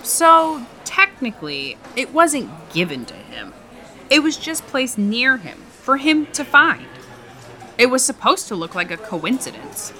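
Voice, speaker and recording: A brash young woman in an audio drama, her bright voice pitched a little high. She is sarcastic, teasing and unrepentant, with a casual, animated delivery. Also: measured pace, heavily acted. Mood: casual, grudging. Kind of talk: naturalistic audio drama dialogue.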